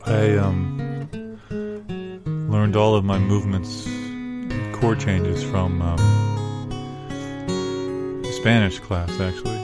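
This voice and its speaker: Earnest musician played by a man, deep and deadpan, hesitant conversational improv with loud plucked guitar underneath. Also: takes it slowly, pauses often. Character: earnest male musician solemnly explaining absurd Spanish-verb chords.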